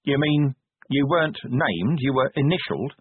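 A man's voice: mid-range voice, lively intonation, normal speed, slightly rough, dry recording, pitched above the performer's own voice. A man voicing a weary, dry-witted male watch commander with a brusque, sardonic manner. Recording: thin phone-like dry recording.

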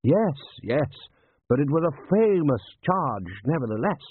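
Guy doing a haughty lord general, blustery, pitched up, audiobook with thin phone-like sound. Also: big pitch swings, measured pace, slight background noise. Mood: pompous, boastful. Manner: pompous, haughty, repetitive blustering. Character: pompous, blustering aristocratic army commander.

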